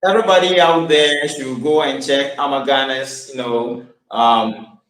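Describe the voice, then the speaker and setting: A man hosting an online interview, asking calm follow-up questions and thanking his guest. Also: mid-range voice. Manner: measured, asks follow-up questions. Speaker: host. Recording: echoey room sound.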